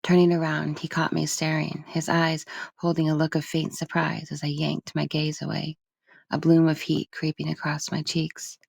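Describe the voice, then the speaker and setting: A woman reading literary first-person narration for an audiobook in a measured, expressive storytelling manner. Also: sits low, gravelly, slightly rough, dry recording. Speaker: audiobook reader.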